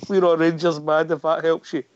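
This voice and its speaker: A man's voice: on the high side, little pitch movement, normal speed, highs cut off, slight background noise. A man hosting a radio phone-in, jokey and stumbling over the start of his questions. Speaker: radio host. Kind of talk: casual phone-in chat.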